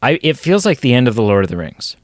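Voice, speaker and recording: A man co-hosting a casual podcast chat, going hoarse as he talks, rambling with quick self-deprecating asides. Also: medium pitch, big pitch swings, no echo, studio quality. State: losing his voice. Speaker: podcast co-host.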